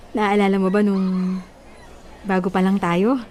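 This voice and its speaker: A woman's voice: mid-range voice, darkish tone, a little hiss or hum, natural voice. An actress playing an affectionate, hurt young wife and mother in an emotional, pleading Filipino radio drama style. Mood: fond, wistful.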